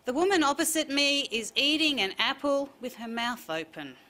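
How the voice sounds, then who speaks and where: A woman's voice: high voice, animated, normal speed, sharp tone. A woman reading short personal literary pieces as a writer. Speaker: writer reading own work.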